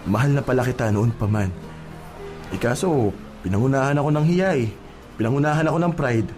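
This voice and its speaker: A man's voice: mid-range voice, a little fast, a little mellow, faint music behind, lightly acted. Man acting an earnest, lovesick suitor. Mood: earnest, regretful. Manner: earnest, hesitant, pleading. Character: remorseful lovesick young suitor confessing his love. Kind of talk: radio drama acting.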